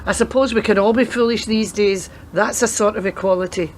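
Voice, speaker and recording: A woman in a radio play acting a world-weary, sceptical grandmother who used to be a policewoman, speaking in a dry, measured way. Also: medium pitch, fairly even intonation, a little hiss or hum, natural voice. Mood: weary, reflective.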